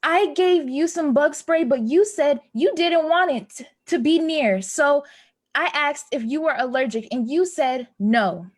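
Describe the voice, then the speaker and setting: A woman plays a wounded, anxious neighbor with a high voice. She pleads and reproaches earnestly, insisting on her point in halting, naturalistic stage dialogue. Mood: accusing, wounded.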